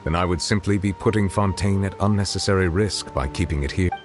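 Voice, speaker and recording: An actor playing a solemn, courteous judge in a video game, speaking formally and with measured reserve. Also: male voice, deep voice, natural voice. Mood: pensive. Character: solemn, courteous male judge of lofty bearing. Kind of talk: video game character dialogue. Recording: game music behind voice.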